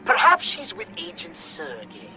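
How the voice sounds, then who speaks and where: An actor playing a haughty, unflappable alien commander in a video game, pompous, theatrical and condescending. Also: male voice, on the high side, huge pitch swings, unhurried, vocal fry, sharp tone, full character voice, low-quality recording. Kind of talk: video game cutscene acting.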